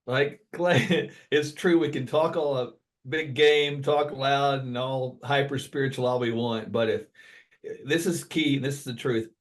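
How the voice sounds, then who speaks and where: A man's voice: medium pitch, fairly even intonation, unhurried. Earnest male podcast co-host. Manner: earnest, aphoristic, agreeable. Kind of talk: conversational business talk.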